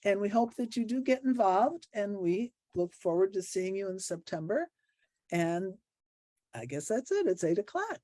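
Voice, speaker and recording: A woman moderating an online meeting, reading closing announcements from a script in a measured, formal way. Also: medium pitch, sing-song, dark tone, no room sound. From Illinois, US.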